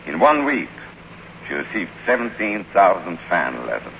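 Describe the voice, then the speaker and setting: A muffled old radio recording with some noise carries a solemn, devoted manservant. His voice is deep and creaky, and he speaks with formal, deferential care in 1950s drama style. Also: big pitch swings, moderate pace, a bit bright, full character voice. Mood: solemn, reverent.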